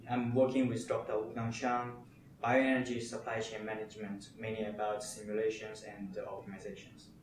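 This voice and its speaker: A man's voice: lowish voice, monotone, a little hiss or hum. A man from China, a doctoral student, answering interview questions with frequent fillers. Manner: halting, with fillers. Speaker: PhD student, conference coordinator. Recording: distant mic in an echoey room.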